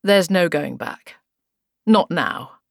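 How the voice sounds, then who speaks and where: Thriller villain, played by a woman narrator: cold, contemptuous man, lowish pitch, threatening, dry close sound. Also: animated, slow pace, gravelly, no echo, exaggerated voice. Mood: cold menace. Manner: scornful, menacing. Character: cold, sneering menacing man, a killer.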